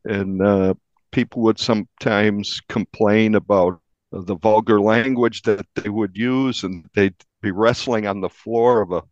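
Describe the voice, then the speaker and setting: Guy reporter getting interviewed, lowish voice, measured, dry but a bit muffled recording. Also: no room sound. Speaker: newspaper reporter being interviewed. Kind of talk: recounting in an interview.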